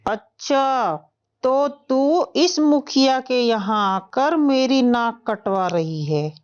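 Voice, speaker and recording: A woman's voice: on the high side, expressive, extremely slow, smooth, muffled recording. A woman voicing a coarse, blustering, drunken male crow husband in a moral-story cartoon. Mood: angry, bullying.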